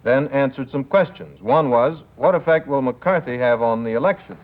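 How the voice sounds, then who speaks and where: A man's voice: medium pitch, moderate pace. Tinny 1950s male news reporter. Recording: tinny 1950s broadcast sound.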